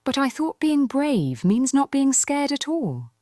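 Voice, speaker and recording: A woman voicing an eager, plucky little storybook girl with an excitable, earnest manner. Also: sits high, measured pace, no room sound, clean studio sound, voice pushed up.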